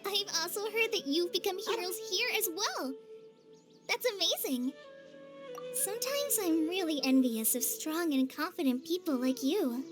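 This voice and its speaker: Gentle, earnest teen girl ranger for a fantasy game, high youthful voice over music. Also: animated, measured pace. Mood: shy admiration. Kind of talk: animated video game dub. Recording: music behind the voice.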